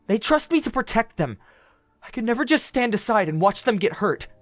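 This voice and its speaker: A woman playing a gentle, sheltered young forest man, shy and earnest, in an audio drama. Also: fairly high pitch, big pitch swings, brisk, no room sound, soft background music. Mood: earnest, protective. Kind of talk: fan audio drama acting.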